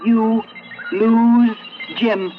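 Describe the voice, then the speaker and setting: Very slow, menacing female computer. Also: a little high, flat delivery, a little hiss or hum. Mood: taunting, coaxing. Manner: slow, drawn-out, deliberate phrasing. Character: female sentient computer, taunting, coaxing, menacing. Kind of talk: 1970s radio drama acting. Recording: dull vintage radio broadcast with music.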